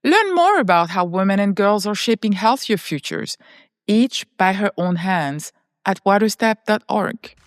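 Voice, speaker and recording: A woman reads a scripted podcast introduction in an even, read-aloud delivery. Her voice sits at a middle pitch for a woman. The sound is dry, with no room echo. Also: unhurried. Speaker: podcast announcer.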